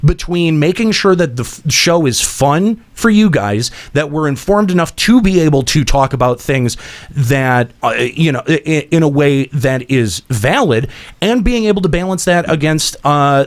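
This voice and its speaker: A man with a slightly high voice hosts a casual podcast. He talks emphatically and bluntly, giving strong opinions in an unscripted, sometimes profane style. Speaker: podcast host. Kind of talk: casual opinionated talk show.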